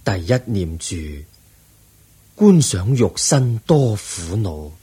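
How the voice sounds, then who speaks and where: An actor playing the aged Buddha in a radio drama, a serene and patient teacher speaking solemn Hong Kong Cantonese in a husky voice. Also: male voice, fairly low pitch, sing-song, mellow, heavily acted. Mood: firm, instructive. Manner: solemn, measured teaching delivery.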